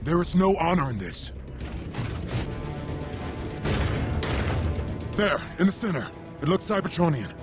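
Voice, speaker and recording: A man playing an honorable, skeptical robot warrior in a video game, speaking in a measured, formal, brooding way. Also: on the high side, very animated. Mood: resolute. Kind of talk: video game cutscene voice acting. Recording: loud music under the voice.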